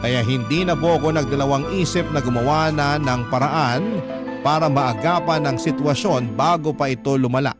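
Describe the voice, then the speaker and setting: Casting: male radio storyteller, slightly high voice, earnest confessional letter reading over prominent music. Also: normal speed. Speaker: narrator of a listener's letter. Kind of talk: dramatic radio storytelling, scripted narration. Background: loud music.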